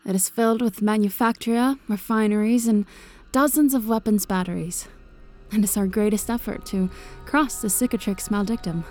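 An actress playing a devout, confident machine-cult tech priest with a solemn, formal manner in an audio drama. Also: female voice, mid-range voice, moderate pace, darkish tone, natural voice. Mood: proud. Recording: faint music behind the voice.

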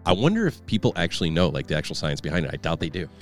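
A man co-hosting a casual podcast, relaxed and mostly giving short agreeing replies. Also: low-pitched, racing, faint music behind. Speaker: podcast co-host. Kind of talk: casual chat.

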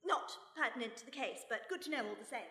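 A woman playing a brisk, composed, witty lady detective in a live comedy radio mystery, speaking in an articulate, dryly proper way. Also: fairly high pitch, sharp tone. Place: stage in a hall before a live audience. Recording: echoey hall, live stage recording.

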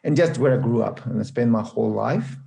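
A man who grew up in Spain, speaking English as an interview guest, halting and laughing often. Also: mid-range voice, gravelly, mellow, slight background noise. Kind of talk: conversational interview.

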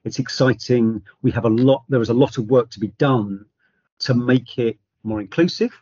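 A man speaking as the outgoing chair of a charity in an interview, measured and full of ums and restarts. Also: mid-range voice, dark tone, breathy edge, no echo, muffled recording. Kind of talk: interview answer.

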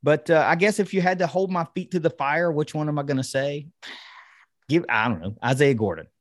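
A man's voice: fairly high pitch, fairly quick, no room sound, clean studio sound. A man hosting a sports podcast, casually breaking down football in an enthusiastic, conversational way. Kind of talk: casual football analysis chat.

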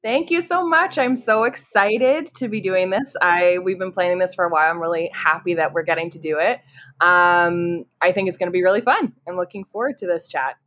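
A woman's voice: lowish voice, brisk. Chatty Canadian woman on a lo-fi line. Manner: eager, chatty, frequent "you know" and "like". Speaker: podcast guest. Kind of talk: casual conversation.

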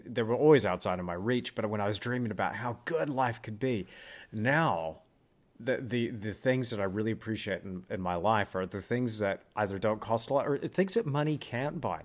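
Man, podcast host, from Texas, very deep voice, affirming casual chat. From Texas, United States. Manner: affirming, encouraging, short interjections.

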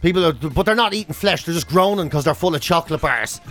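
High-voiced Irish man bantering. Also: lively intonation, quick pace, a bit bright, faint music behind. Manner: sweary, colloquial, exaggerating. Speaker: radio show co-host. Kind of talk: casual comic banter.

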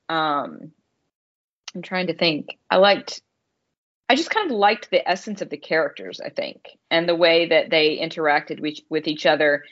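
Low-pitched woman podcaster, slightly muffled. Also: a bit bright. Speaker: podcast co-host and film critic. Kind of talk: casual podcast film discussion.

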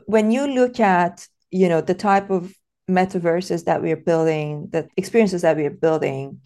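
A woman's voice: fairly low pitch, moderate pace, dark tone. A woman from Iran hosting a casual podcast interview, thoughtful and hesitant, with many fillers and restarts.

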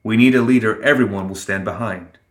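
A man voicing a diplomatic male gremlin with a formal, measured manner in a fantasy audiobook. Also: lowish voice, roomy sound, lightly acted. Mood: solemn.

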